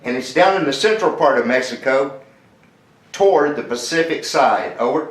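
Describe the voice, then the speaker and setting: Male radio amateur, conversational and repetitive, medium pitch, echoey sound. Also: animated, moderate pace. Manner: chatty, repeats details, spells phonetically. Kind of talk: conversational ham radio contact. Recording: roomy, echoing ham radio audio.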